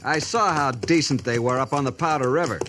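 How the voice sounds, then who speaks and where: An actor playing a stiff young American cavalry lieutenant with a formal military manner in a 1950s radio western. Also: male voice, mid-range voice, brisk, close to an everyday voice. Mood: disapproving. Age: young adult. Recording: noisy vintage 1950s radio transcription.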